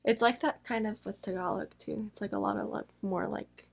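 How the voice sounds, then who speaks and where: A woman's voice: mid-range voice, vocal fry, a loud crowd. Chatty American woman reacting casually. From United States. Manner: chatty, quick asides, drawn-out words. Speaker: co-host reacting with her husband. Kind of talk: casual chat.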